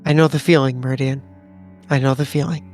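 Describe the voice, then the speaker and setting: A man's voice: medium pitch, steady tone, brisk, a little mellow, soft background music, lightly acted. A man voicing an eccentric, blithe male agent in a horror roleplaying game, with a theatrical manner. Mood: dry, knowing. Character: eccentric male agent who sings blithely under fire. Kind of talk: in-character tabletop roleplay.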